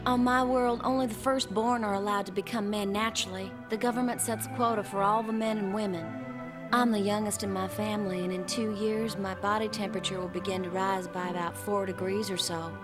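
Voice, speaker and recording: A woman's voice: medium pitch, level tone, brisk, lightly acted. A woman playing a fiery, defiant young androgynous alien in an English anime dub. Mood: earnest, wistful. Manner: brash, defiant. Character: fiery young androgynous alien who insists on being male. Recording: music behind the dialogue.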